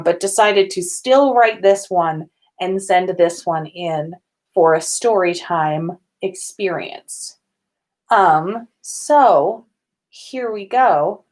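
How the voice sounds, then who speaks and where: A woman hosting a casual story reading, expressive and given to drawn-out emphasis as she narrates and comments. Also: mid-range voice. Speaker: podcast host reading listener-written fan fiction aloud. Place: own room at home.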